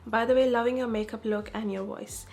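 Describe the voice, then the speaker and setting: A young woman from Dubai, calm and soft-spoken, answering viewer questions in a casual beauty vlog. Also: fairly high pitch, a little fast. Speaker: beauty vlogger.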